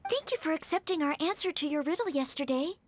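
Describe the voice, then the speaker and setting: A woman's voice: high voice, animated, quick pace, no room sound. A woman voicing an eager, modest and helpful young maid girl in a video game, polite and earnest. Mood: earnest, flustered.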